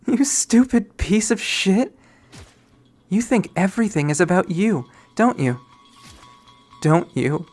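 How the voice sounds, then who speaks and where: A man voicing a bitter, cruel young woman who sneers and shouts at her captive, reading a visual novel aloud. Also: high-pitched, big pitch swings, slow, pauses often, faint music behind, voice pushed up, exaggerated voice. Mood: furious.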